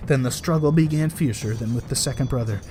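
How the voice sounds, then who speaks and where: A man reading a folktale aloud as a storyteller, in expressive storybook narration. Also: medium pitch, fast talker, a little hiss or hum. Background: added music.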